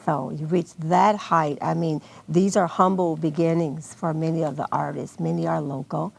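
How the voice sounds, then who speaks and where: A woman's voice: low-pitched, steady tone, normal speed, creaky. An American woman answering questions as a guest organizer in an unscripted television interview. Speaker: guest, arts festival organizer.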